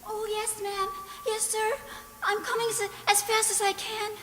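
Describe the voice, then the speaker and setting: Woman playing a very high, timid servant girl. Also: level tone. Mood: meek, flustered. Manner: halting, submissive. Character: timid young servant girl, meek and flustered. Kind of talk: radio drama acting. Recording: echoing hall, loud noise and clicks.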